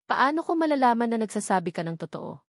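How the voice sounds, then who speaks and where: A woman's voice: on the high side, big pitch swings, fast, no echo. A woman narrator plays a young working-class man in an audio love drama. He speaks with wounded doubt and distrust. The recording is dry. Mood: doubtful.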